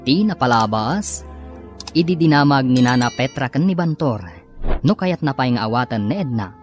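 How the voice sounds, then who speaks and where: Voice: medium pitch, animated, normal speed. A radio drama narrator reading dramatic scripted serial narration and credits. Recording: music bed behind the voice.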